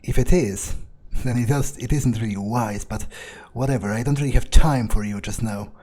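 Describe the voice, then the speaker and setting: A man's voice: mid-range voice, moderate pace, warm tone. A man playing a video game in character, musing aloud with dry, muttered asides. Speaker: roleplaying gamer. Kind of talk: in-character roleplay commentary.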